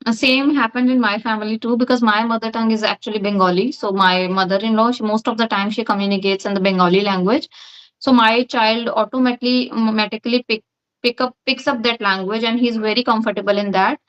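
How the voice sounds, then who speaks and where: A woman in her thirties with an Indian accent speaks earnestly in a relaxed chat and often agrees with quick affirmations. Her voice sits fairly high, and the recording has a slightly dull, lo-fi quality. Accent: Indian English. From Uttar Pradesh, India. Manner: earnest, with short affirming interjections. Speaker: conversation partner. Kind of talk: casual chat. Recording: dull, lo-fi sound.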